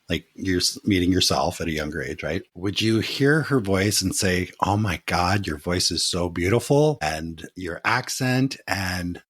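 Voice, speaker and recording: Rambling man in his fifties, low voice. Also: slightly rough, dry recording, clean studio sound. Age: 50s. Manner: rambling, restarting questions. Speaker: podcast host. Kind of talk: casual interview conversation.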